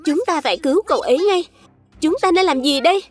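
High-pitched plucky young fruit hero voiced by a woman. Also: expressive, fast talker. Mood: determined. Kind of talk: children's cartoon dubbing.

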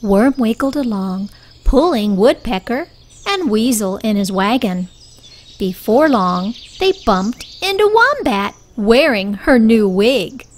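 A woman reading a picture book aloud for young children in a deliberate, expressive storytelling style. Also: on the high side.

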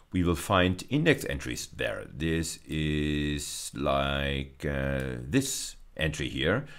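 Male lecturer, middle-aged, very low creaky voice, animated intonation, careful explanations with repeated key phrases. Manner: deliberate, repeats phrases for emphasis. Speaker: university lecturer.

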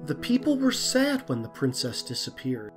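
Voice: medium pitch, wildly swooping pitch, normal speed. A narrator reading a scripted storybook tale. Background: plucked string music.

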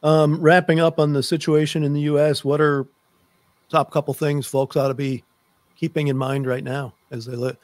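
A man's voice: a little high, level tone, moderate pace, dry recording. A middle-aged man hosting a conversational interview podcast, speaking into a close desk microphone on a video call. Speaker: podcast host. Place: book-lined room, on a video call.

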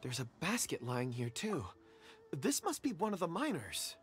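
A polite young scholar. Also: male voice, on the high side, wildly swooping pitch, normal speed, over music. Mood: courteous. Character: gentle, polite young scholar, slightly dazed. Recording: game audio with faint ambience.

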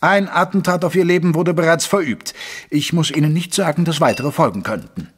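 An actor playing a caring gentleman physician who narrates a German audio drama in a measured, literary way. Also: a little high, slightly rough. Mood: firm, protective.

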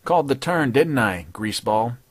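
A man's voice: mid-range voice, lively intonation, moderate pace, breathy edge, no room sound. A man voicing a cocky, wisecracking male newspaper columnist with a taunting, sardonic delivery.

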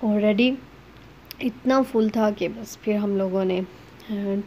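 A woman narrating a casual family vlog as a mother, conversational and hesitant with drawn-out pauses. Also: medium pitch, a little mellow. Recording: noisy ambient background behind voice.

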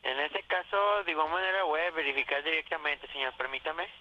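A man's voice: on the high side, brisk. A man working as a customer service agent on a phone call, formal, deferential and apologetic. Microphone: telephone. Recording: noisy, band-limited telephone line.

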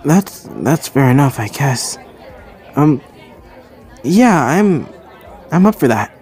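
A man playing a shy, kind teenage hero-school boy in an anime ASMR roleplay, speaking haltingly and apologetically, with stammers. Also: a little high, big pitch swings, darkish tone, a faint crowd, voice pushed up. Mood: flustered, friendly.

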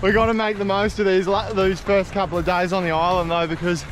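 Excitable young Aussie fisherman outdoors. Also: sits high, a little fast, a little hiss or hum. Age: young adult. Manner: excitable, shouts when fish bite. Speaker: fishing vlogger. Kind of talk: casual outdoor fishing vlog. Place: outdoors on rocky island shore by the sea. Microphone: action camera.

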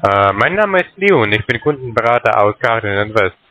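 A man's voice: fairly low pitch, sing-song, normal speed, sharp tone. A man cold-calling as a customer advisor, hesitant and full of filler sounds. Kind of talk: phone sales call.

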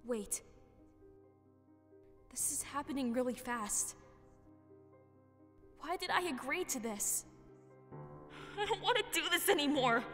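A woman's voice: sits high, a bit bright, big-room sound, exaggerated voice. A woman playing an anxious, hurt young goddess with a hesitant, vulnerable delivery in a comic dub. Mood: panicked.

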